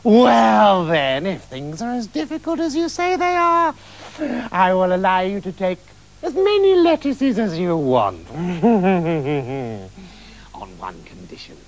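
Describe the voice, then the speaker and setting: A man's voice: high voice, huge pitch swings, takes it slowly, full character voice. A man voicing a nasty old female witch who sneers and cackles. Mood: sly.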